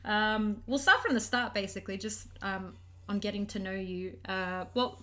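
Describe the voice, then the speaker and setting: A woman's voice: mid-range voice, normal speed, sharp tone. A young Australian woman hosting a casual chat podcast. She asks loose, rambling questions, stopping and restarting often. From Australia (Sydney). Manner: rambling, lots of fillers and restarts. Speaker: podcast host.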